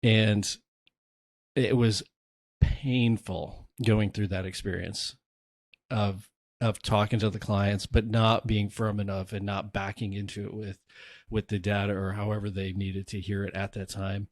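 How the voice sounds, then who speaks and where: A man's voice: lowish voice, little pitch movement, unhurried, no room sound. A man hosting a real estate podcast, chatting casually and telling his own stories with asides. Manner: conversational, storytelling with asides. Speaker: podcast host, realtor.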